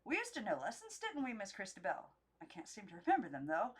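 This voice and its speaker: Lady doing a vague old-fashioned gentleman doll, storybook, genteel but super eager. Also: medium pitch, wildly swooping pitch, fast, slightly rough. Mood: puzzled, vague.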